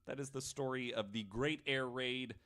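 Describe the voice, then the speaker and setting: A man's voice: medium pitch, steady tone, normal speed, fairly bright tone. An American man hosting a podcast, telling stories and bantering casually, often acting out imagined reactions in quotes. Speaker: podcast host.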